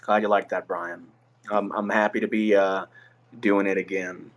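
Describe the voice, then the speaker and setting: A man hosting a casual livestream, rambling with fillers and restarts in a rough voice. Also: low-pitched, level tone, normal speed. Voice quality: rough, gravelly voice. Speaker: livestream host.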